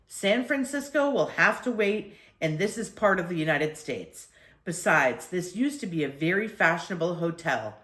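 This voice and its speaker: A stubborn, weary father from a children's story, voiced by a woman. He argues firmly with lively rises and falls, in an echoey room. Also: lowish voice, normal speed. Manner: firm, argumentative. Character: tired, stubborn father on a family holiday.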